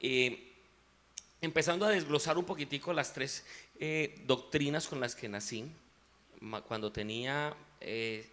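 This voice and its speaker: A man with a Colombian paisa accent, a musician guest giving a reflective, unhurried faith testimony in an interview. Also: medium pitch, fairly bright tone.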